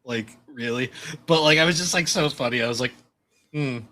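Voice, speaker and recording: A young man with a low voice, co-hosting a podcast in casual chat, laid-back and chuckling through his stories. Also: normal speed, bright, forceful tone. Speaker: podcast co-host.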